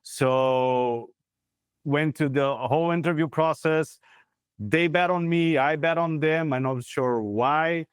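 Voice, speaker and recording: Interview guest: man in his forties with a Brazilian accent, smooth voice, halting English, dry room. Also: a little high, no room sound. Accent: Brazilian Portuguese. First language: Portuguese. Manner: halting, searching for words with many fillers. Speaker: guest, corporate real estate head. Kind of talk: video call interview. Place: plain indoor room. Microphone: lapel mic on video call.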